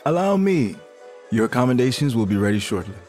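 A man's voice: medium pitch, sing-song, a little fast, darkish tone, faint music behind, natural voice. A man playing a courteous, formal hotel porter in an audio drama, polite and attentive.

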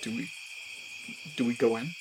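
A man's voice: medium pitch, normal speed, close to an everyday voice. An actor playing a wary, skeptical man sneaking along with his friend in an audio drama, terse and full of questions. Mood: wary, sarcastic. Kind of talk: naturalistic audio drama acting. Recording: noisy ambience behind the voice.

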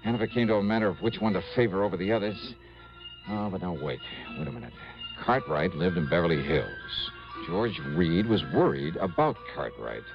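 A brisk American investigator from a vintage radio detective show, wry and colloquial, with pitch that moves dramatically. The recording is muffled, with music underneath. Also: male voice, sing-song. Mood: confiding, wry. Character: brisk, harried, loyal male insurance investigator. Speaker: lead and narrator. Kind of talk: 1960s radio detective narration and acting.

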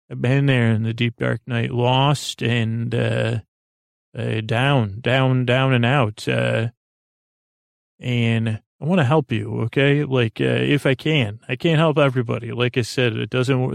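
A man hosting a bedtime podcast in a gentle, reassuring, informal way, meandering and halting with many false starts, in a creaky, gravelly voice. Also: mid-range voice, no echo, studio quality. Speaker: podcast host. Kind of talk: rambling, soothing bedtime podcast monologue.

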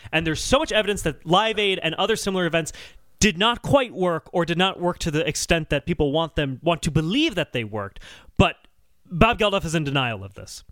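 Bright, animated male podcast host. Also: high voice, no room sound. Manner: emphatic, sardonic, swears. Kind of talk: casual podcast commentary, explaining.

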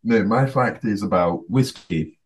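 A young man from Scotland co-hosting a podcast in casual, rambling chat that often trails off quietly. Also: on the low side, a little slow, husky. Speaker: podcast co-host.

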